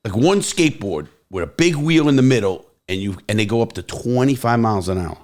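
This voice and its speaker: A man's voice: medium pitch, animated, a little fast, a little mellow. A man from New York with a New York accent, hosting a casual podcast chat, who cuts in, ribs his guest and laughs. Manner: interrupting, ribbing and laughing. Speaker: podcast host.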